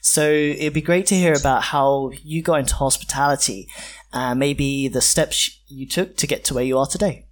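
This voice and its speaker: A man's voice: medium pitch, fairly even intonation, moderate pace. A man hosting a podcast, introducing and interviewing in a casual conversational way.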